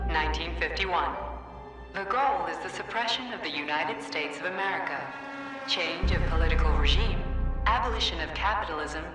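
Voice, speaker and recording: Woman as a formal game announcer, lowish voice, far off in a big echoey hall, music behind. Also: natural voice. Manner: formal, measured recitation. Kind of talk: formal scripted narration.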